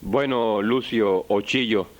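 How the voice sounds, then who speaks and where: A man conducting an old radio interview in a deliberate way, pausing as he asks. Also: on the low side. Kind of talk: 1980s guerrilla radio interview.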